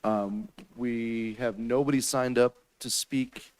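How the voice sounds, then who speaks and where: A man's voice: mid-range voice, fairly even intonation, takes it slowly, darkish tone, clean tone. An American man speaking as a mayor, formally running the procedure of a council session. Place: council chambers.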